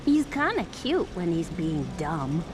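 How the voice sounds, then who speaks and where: An actress playing a smug, brainy, greedy young villainess in a cartoon game, sly and condescending. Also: female voice, lowish voice, big pitch swings, a little slow, heavy background noise. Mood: smug, ambitious. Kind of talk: cartoon video game acting.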